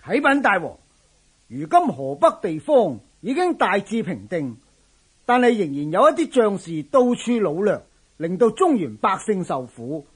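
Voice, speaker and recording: A man's voice: high-pitched, sing-song, measured pace, breathy edge, exaggerated voice. A man with a Hong Kong Cantonese accent playing an earnest, dutiful general who speaks formally and deferentially in a radio drama. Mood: earnest, urging. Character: earnest, dutiful general reporting to his lord.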